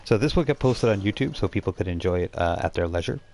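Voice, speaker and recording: Deep, gravelly-voiced man hosting casually. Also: animated, fast talker, a little mellow. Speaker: game master and live stream host. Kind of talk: casual chat about a tabletop role-playing game. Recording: faint background noise.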